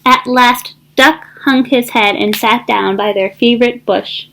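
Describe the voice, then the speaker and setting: A woman reading a children's storybook aloud in an expressive, deliberate narrating style. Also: fairly high pitch, poor audio. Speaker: school counselor reading aloud.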